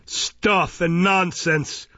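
A man's voice: on the high side, expressive, unhurried, sharp tone, no echo, dull audio, pitched above the performer's own voice, heavily acted. A man voicing an irascible, pompous, bullying police magistrate in an audiobook, barking his words with contempt. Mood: scornful anger. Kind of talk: dramatised audiobook reading of a classic novel.

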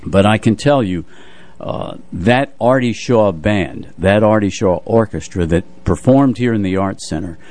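A man in his eighties reminiscing casually as a radio music show host, telling stories in a measured way and repeating phrases. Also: lowish voice, animated, a little mellow. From United States, New Jersey area. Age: 80s. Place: radio studio.

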